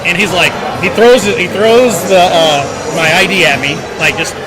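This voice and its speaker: High-voiced man over loud crowd and music. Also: animated, normal speed, low-quality recording. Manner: acts out dialogue, informal. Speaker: podcast co-host telling an anecdote. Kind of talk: casual animated storytelling. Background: loud crowd chatter and music.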